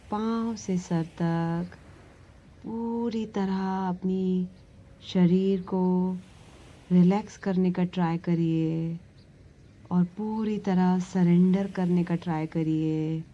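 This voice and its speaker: A woman leads a guided relaxation meditation, giving gentle, soothing instructions with long pauses between phrases. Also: lowish voice, muffled recording. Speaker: yoga nidra meditation instructor.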